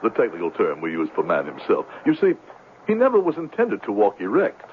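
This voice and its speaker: A florid swindler from a 1970s radio drama, low in pitch and sweeping grandly up and down. He is theatrical and pompous, a fallen huckster posing as a doctor. The sound is tinny. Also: wildly swooping pitch, fairly quick, slight background noise. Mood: grandly persuasive. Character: grandiloquent, down-on-his-luck male con man posing as doctor.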